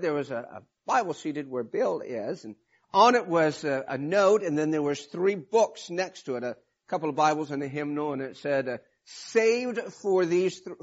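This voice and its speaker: A man preaching a sermon in a hoarse, raspy voice from a cold, talking informally with many restarts. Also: fairly high pitch, highs cut off. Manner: informal, rambling with restarts and fillers. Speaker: pastor.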